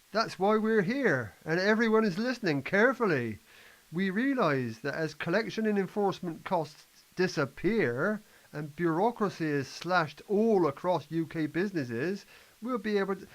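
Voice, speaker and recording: Male amateur actor as assured reform advocate, high voice, lecturing a doubter impatiently, stage-play delivery. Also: rough recording. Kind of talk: amateur scripted play reading.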